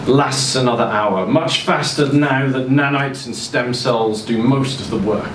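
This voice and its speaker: A man giving an expressive live reading of a first-person story, now and then stumbling over words. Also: medium pitch, a little slow. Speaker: reader performing a short story at a live literary event. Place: indoor social venue, live event. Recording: roomy, distant mic, clear ventilation noise.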